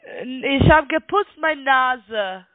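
A high-pitched woman with a foreign accent makes a telephone sales pitch as a non-native speaker. She sounds congested with a cold. Her voice comes over a thin phone line. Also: lively intonation, slow pace, no echo. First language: not German. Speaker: call center trading sales caller. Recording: muffled band-limited phone line.

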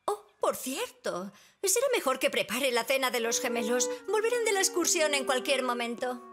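A woman voicing an anxious, doting village mother in an animated children's series, fretful and dramatic. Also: high-pitched, normal speed, soft background music. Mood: worried.

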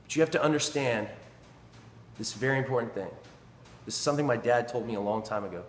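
A man's voice: mid-range voice, quick pace, a little hiss or hum. A man in his thirties, a personal trainer, giving an earnest, emphatic motivational talk to a camera in a small indoor gym. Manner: earnest, emphatic, repeats phrases for stress. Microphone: camera in front at close range. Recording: echoey gym with long reverb.

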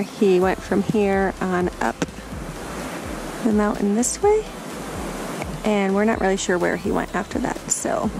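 A middle-aged woman on a camping vlog speaks slowly and easily, with small laughs between her sentences. She is recorded outdoors on an action camera, and a steady crackle from the camera's adaptor runs under her voice. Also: medium pitch, gravelly. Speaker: family camper filming a trip. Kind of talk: casual vlog narration. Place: outdoors on a mountain lakeside campsite.

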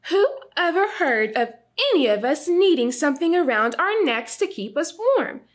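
A woman's voice: sits high, big pitch swings, normal speed. A woman voicing a stern, exasperated mother squirrel in a storybook, stretching words for emphasis. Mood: scolding, exasperated.

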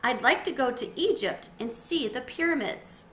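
A woman's voice: high-pitched, lively intonation, normal speed, fairly bright tone, voice pushed up. A woman voicing an earnest, imaginative young schoolboy in a children's storybook, plain-spoken. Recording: roomy echo, faint background noise.

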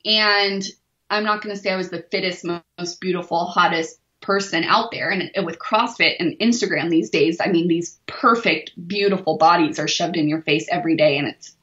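Woman in her forties, self-deprecating, bright voice, dull roomy audio. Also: lowish voice, moderate pace. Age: 40s. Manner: open, self-deprecating, laughs easily. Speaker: interview guest, weightlifter. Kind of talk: candid podcast interview.

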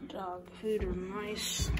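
A woman's voice: mid-range voice, flat delivery, slow pace, over loud music. A woman giving a casual house tour at home, listing rooms and things in short fragments while walking with a handheld phone. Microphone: handheld phone camera while walking. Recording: loud handling noise and clicks.

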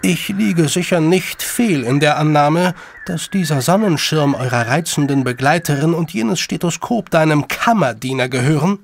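A superior, shrewd gentleman of a period audio drama. His voice sits a little high for a man and has a strong creak. He phrases things formally and with measured condescension. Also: slight background noise. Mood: probing, superior. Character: shrewd, superior gentleman, elder brother of a detective. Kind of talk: German audio drama acting.

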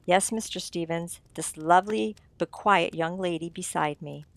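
A woman playing a shrewd writer-sleuth who explains things theatrically and deliberately in an old-time radio mystery. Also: lowish voice, no echo, close to an everyday voice. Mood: smugly accusing.

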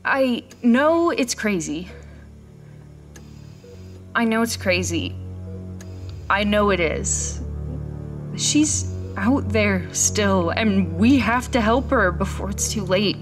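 A woman playing a frightened, traumatised captive in an audio horror drama, halting and pleading. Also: medium pitch, expressive, over music. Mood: shaken recollection.

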